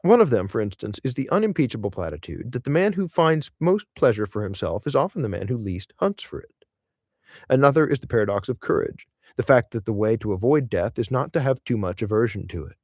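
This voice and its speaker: A man reading a literary essay aloud in audiobook style, in a measured, expressive way with deliberate emphasis. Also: medium pitch, no room sound, clean studio sound.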